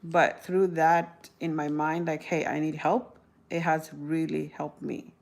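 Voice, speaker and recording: A woman's voice: low voice, little pitch movement, unhurried, creaky. A woman in her thirties from South Sudan, chatting casually to her viewers with frequent laughs and restarts. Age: 30s. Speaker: vlogger answering viewer questions. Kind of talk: casual talk to camera.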